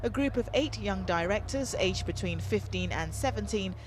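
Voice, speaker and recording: A woman reporter narrating a scripted television feature in a measured, storytelling way. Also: mid-range voice, animated, background noise. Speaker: news reporter.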